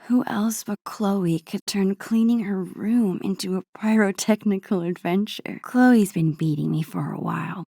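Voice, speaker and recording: A woman playing a soft-spoken, introspective teenage girl in a video game, wistful and hesitant, with a whispery voice. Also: mid-range voice, measured pace, hardly any pauses, no room sound, studio quality. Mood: wry, amused. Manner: hesitant, gentle, musing to herself. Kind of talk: naturalistic video game dialogue and inner monologue.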